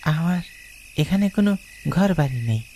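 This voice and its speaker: A man's voice: on the high side, lively intonation, normal speed, dark tone, slight background noise. A man playing a mysterious, aloof bullock-cart driver in a cartoon ghost story, speaking in a cryptic, formal way.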